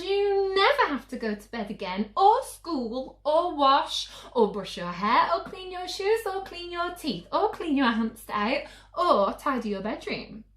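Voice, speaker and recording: A woman's voice: high voice, fairly bright tone, reverberant, voice pushed up, full character voice. A woman voicing a storybook mum who pleads and coaxes with growing desperation, gushing out her promises. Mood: frantic, desperate. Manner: coaxing, gushing through escalating lists.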